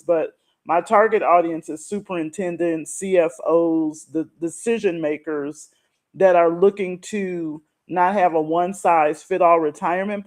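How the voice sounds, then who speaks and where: A woman's voice: on the low side, a little mellow. A middle-aged woman talking casually and explaining her routine as a guest. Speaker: guest, retirement and money coach who writes articles.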